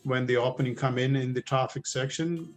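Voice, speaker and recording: A man's voice: mid-range voice, fairly even intonation, brisk, soft background music. A middle-aged man with a thick Indian accent, a police officer answering recruits' questions in a loose, conversational way full of fillers and restarts. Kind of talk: informal Q&A talk.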